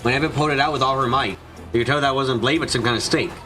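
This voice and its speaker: A man's voice: mid-range voice, rapid-fire, bright, forceful tone. A man reading dramatic scripted narration for a visual novel fan dub, now and then stumbling over the lines. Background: background music.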